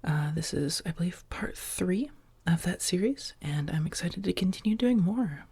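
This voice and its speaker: A woman speaking softly and breathily, half-whispering as an ASMR creator addressing her listeners. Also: on the low side, expressive, normal speed, husky. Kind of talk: soft-spoken outro addressed to listeners.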